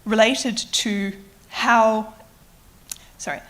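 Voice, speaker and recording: A woman in her thirties from Adelaide, Australia, with an Australian accent touched by British, giving a public science lecture in a clear, explanatory way with light self-deprecating asides, into a microphone in a lecture hall. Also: fairly high pitch, steady tone, drawn-out words, fairly bright tone. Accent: Australian with slight British influence. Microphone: microphone at a lectern or on her.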